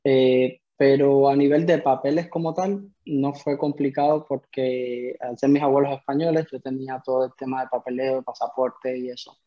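A man's voice: mid-range voice, steady tone, moderate pace, hardly any pauses. A man in his thirties from Cuba, telling his story as a podcast guest in a conversational way. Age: 30s. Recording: slightly dull, lo-fi audio.

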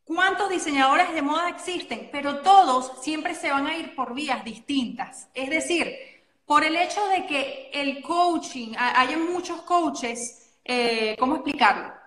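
A Venezuelan woman, a guest coach and model, talking in a chatty, animated way and often correcting herself mid-sentence. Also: high-pitched, a little slow, roomy sound. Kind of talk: casual interview chat.

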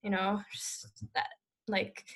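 A woman's voice: medium pitch, completely flat delivery, slow pace, a bit bright. A young adult woman telling a personal story in a casual, candid conversational way.

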